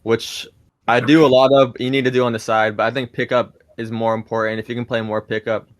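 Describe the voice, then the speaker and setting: A man's voice: mid-range voice, flat delivery, fairly quick, dry recording. A man in his twenties, a podcast guest chatting casually, rambling a little with restarts and fillers. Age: 20s.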